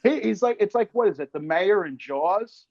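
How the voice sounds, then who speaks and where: Male podcast host, lively swooping delivery, slangy and profane banter, dry but slightly muffled lo-fi recording. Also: high voice, normal speed, vocal fry, crowd noise. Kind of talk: casual chat.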